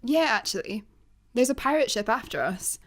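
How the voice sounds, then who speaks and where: A woman's voice: fairly high pitch, lively intonation, moderate pace. A woman playing a practical, matter-of-fact space fugitive in a sci-fi comedy radio play. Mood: brisk.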